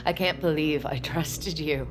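A woman's voice: sits low, unhurried. A young woman with an American accent playing an anxious, incredulous lover in an audio drama, talking in a casual, blunt, emotional way. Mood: hurt, angry. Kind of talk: naturalistic audio drama acting.

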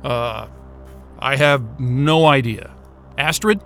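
An actor playing an irritable, excitable crewman with a sarcastic, profane streak in a science-fiction audio drama. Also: medium pitch, slow. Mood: impatient. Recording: music bed behind the voice.

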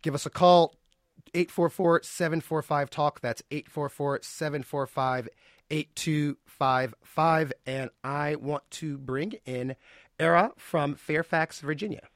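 A man hosts a live call-in radio program, his slightly higher voice carrying an animated, projecting delivery. The studio sound is dry and close. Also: slow pace, no room sound. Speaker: radio host.

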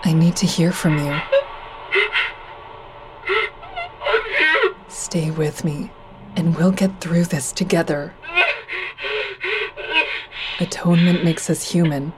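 An actress playing a haunted, earnest woman who survived violence, narrating an audio drama in the first person with measured intensity. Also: low voice, fairly even intonation, a loud crowd, poor audio. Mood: urgent, earnest.

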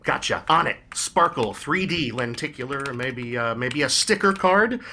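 An actor playing an eager but hesitant designer who stammers on the phone. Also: male voice, mid-range voice, expressive, measured pace, bright, forceful tone, slight background noise. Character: eager, timid merchandise designer man.

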